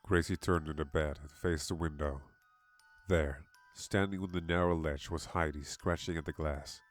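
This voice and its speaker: A man narrating a scripted horror story in a measured, dramatic manner. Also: extremely low pitch, fairly even intonation. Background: background music.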